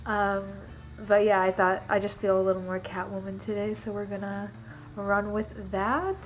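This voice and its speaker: A woman's voice: medium pitch, fairly even intonation, moderate pace, soft background music, poor audio. A young woman with a stuffed-up, snuffly nose from allergies, chatting casually and rambling to the camera in her living room. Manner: chatty and rambling, many fillers like "um" and "like". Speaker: beauty and costume vlogger.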